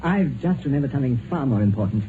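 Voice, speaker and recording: Actor as an animated British lover, noisy old radio. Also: male voice, medium pitch, fast, lightly acted. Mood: playful. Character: ardent, playful gentleman lover. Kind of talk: 1950s radio drama acting. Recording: tinny, noisy 1950s radio recording.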